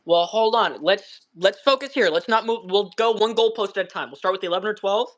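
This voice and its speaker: A man's voice: high voice, a little fast, a bit bright. A man of twenty with a slight lisp, a joking, rambling debate guest. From California. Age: 20s. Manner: joking, rambling, restarts himself. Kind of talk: casual debate chat.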